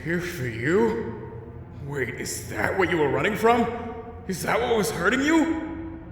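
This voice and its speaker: A man's voice: sits high, big pitch swings, unhurried, gravelly, exaggerated voice. A man voicing a wry, protective, laid-back skeleton brother in a dramatic comic dub, casual and given to dry understatement. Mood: uneasy, questioning. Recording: echoey hall reverb, faint music.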